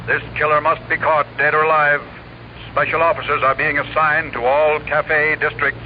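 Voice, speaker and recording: Guy doing brisk official cop bulletins, fairly high voice, muffled 1940s radio sound. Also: little pitch movement, a little hiss or hum, natural voice. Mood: urgent, official. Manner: clipped, formal bulletin delivery. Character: brisk, official Los Angeles police announcer. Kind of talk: 1940s radio police drama acting.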